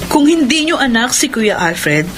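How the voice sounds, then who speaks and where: A woman's voice: a little high, sing-song, a little slow, noisy background. A woman acting an earnest, troubled young adult daughter, emotional and insistent, in a Filipino radio drama. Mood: upset, demanding.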